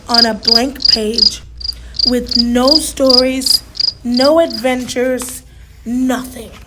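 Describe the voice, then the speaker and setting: A woman reading a children's story aloud with dramatic, drawn-out emphasis. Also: sits high, very noisy. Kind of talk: animated storybook read-aloud.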